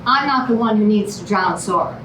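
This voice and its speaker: A woman's voice: medium pitch, lively intonation, a little fast, background noise, close to an everyday voice. A woman playing a sarcastic, fed-up girlfriend, dry and cutting, in a staged musical comedy reading. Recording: echoey room, distant mic, music behind.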